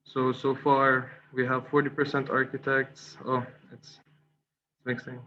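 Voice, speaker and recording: A young man from Qatar giving a webinar presentation as a guest speaker. He talks with many fillers, pauses and restarts, and polls the audience. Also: mid-range voice, monotone, pushed and bright. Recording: slightly dull online call audio with faint noise.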